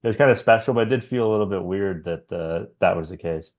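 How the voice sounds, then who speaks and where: A man's voice: sits low, fast talker, darkish tone. A man in his thirties from Canada, co-hosting a casual baseball podcast and talking loosely with many fillers. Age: 30s. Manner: conversational, rambling with fillers. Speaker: podcast co-host. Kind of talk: casual sports chat.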